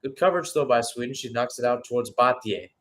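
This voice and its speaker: A man's voice: medium pitch, quick pace. A man giving live radio-style play-by-play commentary on a football match, announcer-like and speaking in short phrases.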